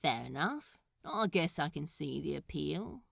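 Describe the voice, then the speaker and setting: A cool, offhand man from an audio story, as a woman plays him, teasing and a bit awkward. He speaks in short, unhurried, hesitant bits, recorded dry. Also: low voice, sing-song, vocal fry, a bit bright, no echo, voice pushed down, heavily acted. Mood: awkward, casual.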